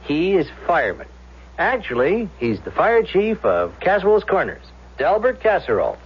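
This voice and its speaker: Lively, higher-voiced TV interviewer. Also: male voice, normal speed, husky, exaggerated voice. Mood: chipper. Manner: presenterly, folksy showmanship. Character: chirpy, polished local TV interview host. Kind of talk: 1970s radio drama acting. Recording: muffled vintage radio broadcast.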